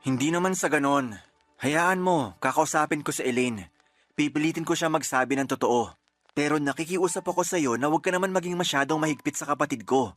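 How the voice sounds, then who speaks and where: A man's voice: on the high side, a little fast, a bit bright, faint music behind, natural voice. A man playing a reproachful, protective boyfriend in a Filipino radio drama, earnest and reproving, with a Filipino accent. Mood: pleading, reproving. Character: reproachful boyfriend, protective of his younger sister.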